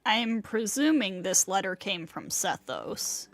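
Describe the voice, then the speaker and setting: A woman's voice: mid-range voice, very animated, a little slow, vocal fry, no echo. A woman chatting casually and reading aloud as she streams a video game. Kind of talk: casual chat and reading aloud while playing.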